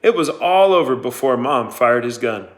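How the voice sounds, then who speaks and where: A man's voice: medium pitch, lively intonation, normal speed, close to an everyday voice. Man playing a plain blunt teen boy.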